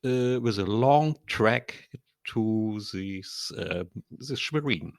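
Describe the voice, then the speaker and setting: A German man from East Germany, a guest speaking halting, hesitant English full of fillers. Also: lowish voice, lively intonation, creaky, no room sound, studio quality.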